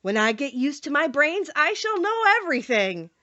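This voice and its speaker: A woman's voice: high voice, big pitch swings, moderate pace, sharp tone, lo-fi sound, pitched above the performer's own voice, heavily acted. A woman voicing a cheerful, earnest male scarecrow in a storybook reading, eager and sincere.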